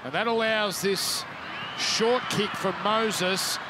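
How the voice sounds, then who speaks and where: A man calling a rugby league match live, excitable and rising to shouts on big plays. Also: high voice, slow pace. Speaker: play-by-play commentator. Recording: loud stadium crowd and noise. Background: roaring stadium crowd.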